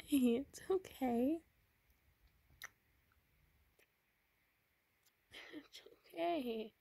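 A woman voicing an anxious, tender young boyfriend in a whispered romance roleplay, speaking softly with nervous stammers. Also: on the high side, slightly rough, no echo. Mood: tender reassuring.

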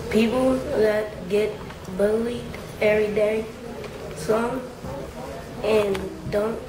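Teen girl, slow and halting, flat tone, echoey room, distant mic. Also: mid-range voice, background noise. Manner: halting, searching for words. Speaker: youth program member.

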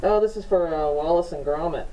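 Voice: medium pitch, fairly quick, warm tone, highs cut off. An urban explorer making casual, off-the-cuff remarks in short, sweary exclamations while walking through an empty derelict building. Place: inside an empty derelict movie theater. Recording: roomy echo with faint ambient noise.

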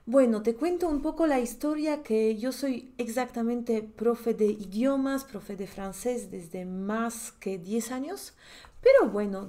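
A woman's voice: a little high, dark tone. A woman in her thirties with a Polish accent, giving a chatty online grammar lesson as a language teacher, checking in with the listener often. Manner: chatty, explanatory, asks "¿sí?" often. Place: indoor room, at a desk. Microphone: webcam in a corner of a screen share.

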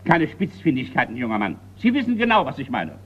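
An actor playing a gruff, impatient old colonel in a German radio crime drama, blustering and hesitating. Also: male voice, mid-range voice, huge pitch swings, fast talker. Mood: indignant. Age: older. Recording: muffled, noisy old radio recording.

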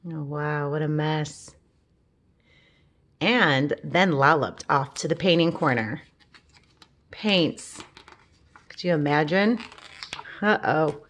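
A woman reads a picture book aloud for young children. Her pace is very slow and her pitch sits a little low. She is gentle and often turns from the text to speak straight to her listeners. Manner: gentle, talks to children with questions. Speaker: storybook reader.

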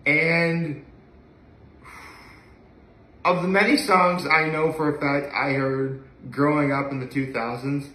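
A man's voice: a little high, flat delivery, takes it slowly, long pauses, bright, forceful tone. A young man chatting casually and enthusiastically to camera in a rambling, conversational way, recorded in a living room from a few feet away. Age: young adult. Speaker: vlogger. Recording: echoey room, mic at a distance.

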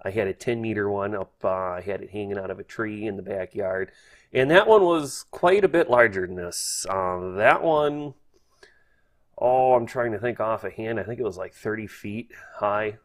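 Man, lowish voice, folksy, hesitant, casual hobby how-to narration. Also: normal speed. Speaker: host, hobbyist instructor. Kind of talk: casual spoken tutorial over screen.

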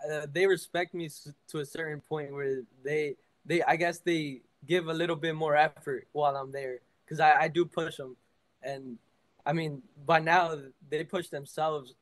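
A teenage high school soccer player answering interview questions over a video call, relaxed and full of "like" and "I mean". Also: male voice. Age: teens.

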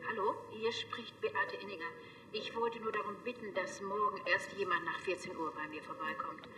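A woman's voice: medium pitch, steady tone, normal speed. An actress playing a polite woman leaving a formal message, heard through an answering machine. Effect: answering machine playback. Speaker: actor in a TV police series. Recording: distant, echoey, noisy answering machine playback.

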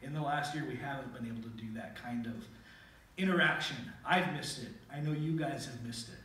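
A man's voice: medium pitch, brisk, pushed and bright. A middle-aged man preaching a sermon and reading scripture aloud with earnest emphasis in a church sanctuary. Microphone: pulpit mic, filmed from a far camera. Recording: very echoey hall from far off.

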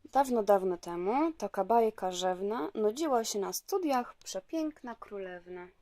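A woman's voice: medium pitch, animated, a little slow, mellow. A woman presenting a handmade album. She reads its rhymed fairy tale aloud in a storybook voice, then slips into plain, chatty remarks. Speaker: craft maker presenting her handmade album.

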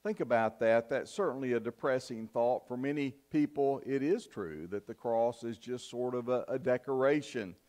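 An older man preaching a sermon in a church, in a measured, earnest style with emphatic pauses. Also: mid-range voice, darkish tone. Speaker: pastor. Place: church sanctuary, at the pulpit. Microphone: fixed camera from the back of the church.

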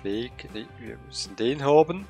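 A man with a cold and a middle-pitched voice talks slowly through a game session. He thinks aloud, hesitant and full of fillers. Faint music plays under his voice. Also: lively intonation, gravelly, mellow. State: has a cold. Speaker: let's play gamer. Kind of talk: casual gameplay commentary.

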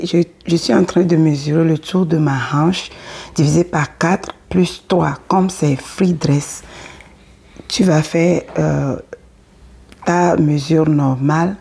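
A woman's voice: low voice, mellow. A woman with a cold, speaking French as a second language, explaining step by step how to cut and sew a kaftan. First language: not French. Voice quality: husky, gravelly. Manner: plain step-by-step explaining, often asks the viewer if they follow. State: has a cold, hoarse. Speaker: sewing tutor. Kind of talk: casual sewing tutorial. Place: indoors at a table.

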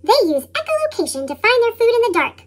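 A woman voicing an eager, chattering little girl from a storybook, through a pitched-up chipmunk effect. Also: sing-song, quick pace, slight background noise. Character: excitable little girl who loves bat facts.

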